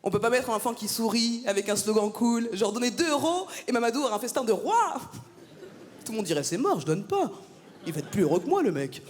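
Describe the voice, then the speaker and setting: A woman from France works a live theatre crowd with a stand-up set. Her voice sits high, and she banters with the audience in quick, punchy jabs. A long hall reverb trails every line, over faint room noise. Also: very animated, darkish tone. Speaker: stand-up comedian. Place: large theatre stage.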